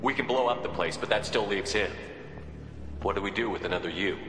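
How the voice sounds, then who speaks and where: A man playing a steady, reasoning military squad leader in a video game, firm and direct in delivery. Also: lowish voice, brisk, music in the background, natural voice. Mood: firm, persuading. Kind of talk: video game cutscene acting. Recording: echoey hall, faint background noise.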